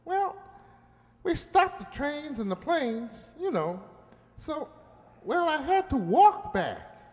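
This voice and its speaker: A woman's voice: high-pitched, sing-song, takes it slowly, a little mellow, full character voice. A woman playing a small, weary, plain-spoken male talking mouse in an amateur radio play, with a folksy, hesitant manner. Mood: weary, matter-of-fact. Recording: reverberant hall.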